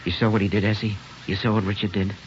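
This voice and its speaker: A man's voice: fairly low pitch, little pitch movement, a little hiss or hum, lightly acted. Muffled old-radio American father, awkward and tender, acted by a man. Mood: tender, reflective. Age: middle-aged. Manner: halting, hemming and throat-clearing. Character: awkward, tender small-town middle-aged father. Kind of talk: 1940s American radio acting. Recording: muffled vintage radio with music behind.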